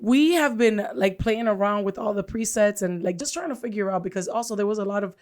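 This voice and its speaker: Loud, pronounced-voiced woman co-hosting a podcast. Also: mid-range voice, brisk. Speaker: podcast co-host. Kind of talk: casual chat.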